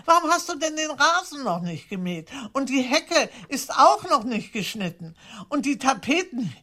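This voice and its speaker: Fussy old aunt, shrill and bright. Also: female voice, very animated, moderate pace, full character voice. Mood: nagging, indignant. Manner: scolding, demanding, indignant. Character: stingy, fussy, nagging old aunt of seventy-seven. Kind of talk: German radio crime play acting.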